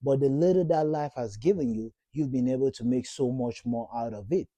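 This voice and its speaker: Guy in his 30s from cameroon, really dark mellow voice, dry close sound, keeps encouraging you. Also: medium pitch, brisk, dry recording. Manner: earnest, encouraging, repeats himself for emphasis. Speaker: vlogger. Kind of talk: motivational talk to camera.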